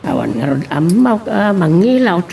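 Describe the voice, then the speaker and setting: A woman's voice: lowish voice, sing-song, normal speed, creaky, dark tone. Woman playing a stubborn old father, faint background noise. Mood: aching, grumbling. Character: stubborn, bashful old father with sore arm. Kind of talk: amateur radio-style drama acting.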